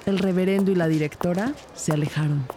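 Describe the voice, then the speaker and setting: A woman's voice: on the low side, steady tone, fairly quick, noisy background, lightly acted. A woman playing a detective who narrates her case in a scripted audio drama. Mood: narrating. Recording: clear background music under voice.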